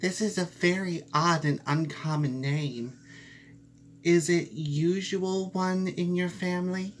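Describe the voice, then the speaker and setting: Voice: medium pitch, steady tone, takes it slowly, slight background noise, pitched above the performer's own voice, close to an everyday voice. A performer voicing a small, earnest, suspicious female mouse in a storybook, plaintive and full of questions. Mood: curious. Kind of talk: storybook character voice.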